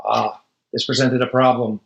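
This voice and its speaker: A man's voice: mid-range voice, little pitch movement, normal speed, vocal fry, lo-fi sound, slight background noise. Man reading a picture book, echoey room. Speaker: storybook reader. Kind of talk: read-aloud storytelling of a children's picture book with casual asides.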